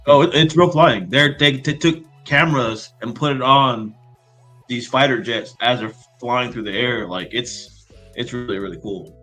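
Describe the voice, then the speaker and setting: A man co-hosting a casual chat, easygoing and halting, repeating words as he goes. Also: medium pitch, bright, forceful tone, faint music behind, poor audio. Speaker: co-host.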